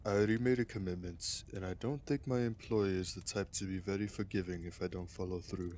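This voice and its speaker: A man playing a devoted ninja father and skilled warrior in an audio drama, speaking in a measured, solemn, soft way. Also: deep voice, fairly even intonation, slight background noise, natural voice. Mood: quiet, earnest.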